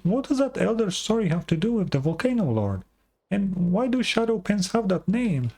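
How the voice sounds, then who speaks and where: A woman voicing a tiny, childlike fairy companion in a video game, squeaky and excitable. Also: normal speed, slightly rough. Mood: curious. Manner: excitable, refers to self by name. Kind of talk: cartoonish video game acting.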